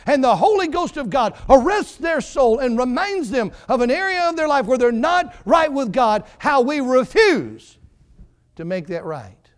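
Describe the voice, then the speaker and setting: High-voiced fervent preaching man. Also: sing-song, measured pace. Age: 40s. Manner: fervent preaching with repeated phrases, swinging between quiet and loud. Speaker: pastor. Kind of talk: sermon.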